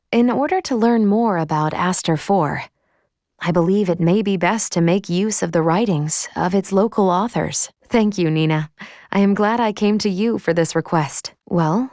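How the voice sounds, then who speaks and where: A woman voicing a composed female android in a video game, speaking formally and precisely with a measured, deadpan delivery and dry care. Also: mid-range voice, dry recording, close to an everyday voice. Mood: polite, matter-of-fact. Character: composed female android crew member, formal and dryly caring. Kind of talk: scripted video game voice acting.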